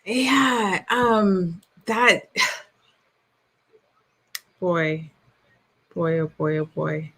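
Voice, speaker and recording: Slow, low-voiced reflective woman. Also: fairly even intonation, a bit bright, slightly rough. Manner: slow, reflective, searching for words. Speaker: guest, declutter coach. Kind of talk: casual interview talk.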